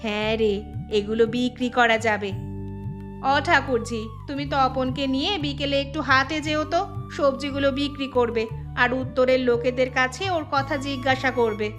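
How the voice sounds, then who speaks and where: A woman's voice: sits high, steady tone, moderate pace, music in the background, lightly acted. A woman playing an anxious, plaintive village housewife and mother in a cartoon, speaking emphatically. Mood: calm. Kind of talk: cartoon dubbing.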